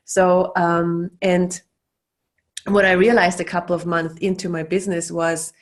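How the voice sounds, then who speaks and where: A woman's voice: on the low side, level tone, unhurried. A middle-aged woman, a facilitator talking loosely over a video call, full of fillers and restarts. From Austria. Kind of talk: casual teaching talk.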